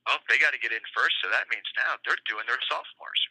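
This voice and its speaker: A man talking quickly and casually in an interview about recruiting. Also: medium pitch, sharp tone, husky, no echo, poor audio.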